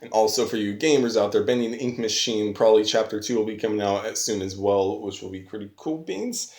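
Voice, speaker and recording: A man's voice: fairly low pitch, a little fast, darkish tone. A man giving a casual, unscripted video review, rambling enthusiastically in slangy everyday language, recorded on a phone. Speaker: online video host, reviewer. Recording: roomy echo on phone camera.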